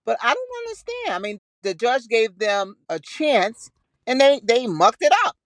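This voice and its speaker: A woman's voice: fairly high pitch, very animated, unhurried, no room sound, studio quality. A woman co-hosting a podcast in casual discussion, plain-spoken and questioning. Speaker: podcast co-host.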